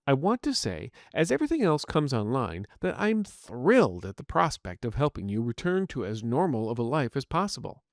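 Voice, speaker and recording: A man's voice: medium pitch, wildly swooping pitch, normal speed, hardly any pauses, no echo, studio quality. A man voicing a polite, earnest artificial intelligence medical assistant in an audio story, speaking formally and courteously. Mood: reassuring. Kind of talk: scripted audiobook narration in character.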